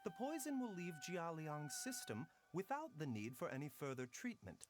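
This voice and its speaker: An actor playing a composed, courteous male physician in a fantasy game, measured, formal and articulate. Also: a little high, sing-song, soft background music. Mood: grave. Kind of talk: video game voice acting.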